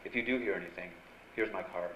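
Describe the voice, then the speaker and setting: An American actor playing a breezy, hardboiled newspaper reporter in an old-time radio noir drama. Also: male voice, sits low, fast talker, a bit bright. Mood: probing. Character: wisecracking, persistent male newspaper reporter, noir hero. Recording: dull lo-fi live stage recording, clear noise.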